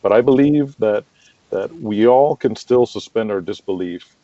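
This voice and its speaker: A man's voice: fairly low pitch, unhurried, mellow. A man giving opinions in a conversational interview as a guest promoter, speaking emphatically and explaining with examples. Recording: dull lo-fi, roomy echoing sound.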